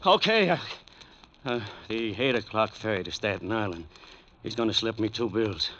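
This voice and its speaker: A man's voice: lowish voice, expressive, moderate pace, fairly bright tone. A man playing a big hired thug in a 1940s radio crime drama, tough-talking and given to stammering under pressure. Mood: rattled, defensive. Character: big hired gunman thug, blustering, easily rattled. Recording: muffled, noisy old radio broadcast recording.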